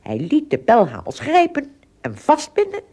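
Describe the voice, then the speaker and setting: A woman with a slightly husky voice, narrating a story in a dramatic storytelling style. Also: sits high, huge pitch swings, moderate pace, mellow.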